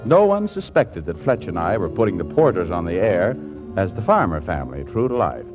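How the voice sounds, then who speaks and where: A smooth, evasive young fellow in a 1940s radio comedy. His pitch moves in lively swings and he stammers when cornered. The sound is muffled, with music in the recording. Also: brisk. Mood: wry narrating. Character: smooth young man, sly evasive radio writer posing as jobless.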